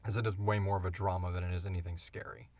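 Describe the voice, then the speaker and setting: A man's voice: sits low, little pitch movement, fast talker, a little mellow, no echo. A middle-aged man hosting a podcast, chatting casually in a rambling, informal, self-deprecating way. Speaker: podcast host and audio drama creator. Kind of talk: casual Q&A chat. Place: home podcast studio.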